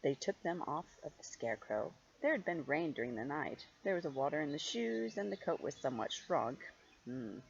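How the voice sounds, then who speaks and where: Older female storyteller, grandmotherly read-aloud with chatty asides, low pitch, lively swooping intonation, drawn-out emphasis. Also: vocal fry, dull audio. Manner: expressive, playful, drawn-out emphasis on key words. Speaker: grandmother reading stories to children.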